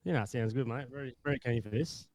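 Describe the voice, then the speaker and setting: A man's voice: mid-range voice, quick pace, a little mellow, dry recording. A man in his late twenties with an Australian accent, hosting a podcast in a laid-back, blokey manner. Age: 20s. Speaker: podcast host. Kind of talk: casual podcast interview.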